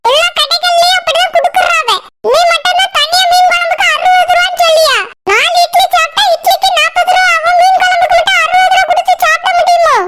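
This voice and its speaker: A feisty cartoon village woman, extremely high and squeaky. She speaks with a Kanyakumari Tamil accent and complains in exclamations. Loud noise runs through the recording. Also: steady tone, fast talker. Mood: complaining. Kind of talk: comic cartoon dialogue.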